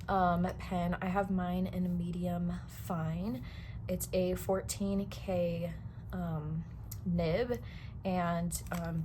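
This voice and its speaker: A young woman vlogger chatting casually about her planners, a little hoarse as she gets over a cold, rambling with lots of fillers. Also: on the low side, darkish tone, a little hiss or hum. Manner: rambling, many fillers and restarts. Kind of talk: casual chat.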